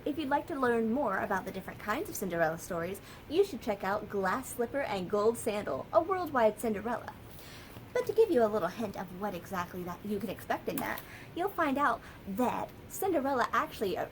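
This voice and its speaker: Lively American woman reading to children. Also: fairly high pitch, slight background noise, rough recording. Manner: lively, sing-song storytelling. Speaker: children's librarian.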